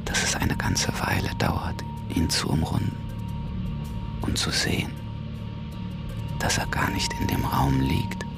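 An actor playing a mysterious, hushed narrator in a radio drama, speaking haltingly and deliberately. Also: male voice, a bass voice, gravelly, whispered, full character voice. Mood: hushed, ominous. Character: mysterious hushed male narrator of eerie places. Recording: prominent music under the voice. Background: music.

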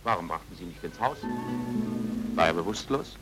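An actor playing an earnest, persistent foreign investigator in an old German radio play, with probing, urgent questions. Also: male voice, deep voice, lively intonation, moderate pace, over loud music. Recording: noisy old radio drama recording.

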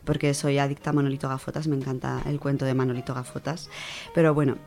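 A woman with a low voice and an accent from Spain presents books on a radio show. She talks informally and enthusiastically, in colloquial phrases, with faint music behind her. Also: little pitch movement, fast, mellow. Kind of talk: informal spoken book review.

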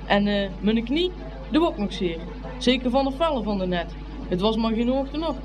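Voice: mid-range voice, lively intonation, normal speed, lightly acted. An actor playing a plucky, indignant young schoolboy at a fair, exclaiming and muttering in a radio play. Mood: sulky, aggrieved. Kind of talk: Dutch radio play acting.

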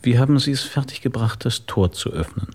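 An actor playing a businesslike police inspector in a German radio crime drama, calm, formal and questioning. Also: male voice, medium pitch, fairly quick, husky. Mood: calm, probing.

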